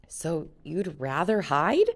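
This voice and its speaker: A woman voicing a timid, gentle boy in a read-aloud story, speaking softly. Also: deep voice, sing-song, slow pace, exaggerated voice. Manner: timid, soft-spoken.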